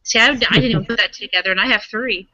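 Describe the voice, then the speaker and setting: Woman in a tabletop rpg, bright sharp voice, casual chat, bit of a lo-fi mic. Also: medium pitch, quick pace, no room sound. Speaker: tabletop roleplaying player. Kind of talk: casual in-character tabletop roleplay. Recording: dull lo-fi recording.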